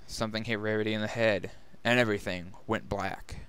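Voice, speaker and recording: A man's voice: on the low side, fairly even intonation, brisk, fairly bright tone, slight background noise. A man narrating a scripted story as a dramatic reading. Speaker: narrator and reader.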